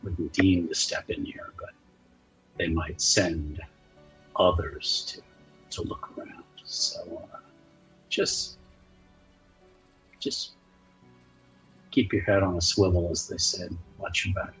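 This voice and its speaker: A man acting out a laconic rogue in a fantasy roleplaying game. He is deep-voiced and slow, hesitant and weary, with understated phrasing. Music sits behind him. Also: steady tone, fairly bright tone, full character voice, poor audio. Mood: cautious warning.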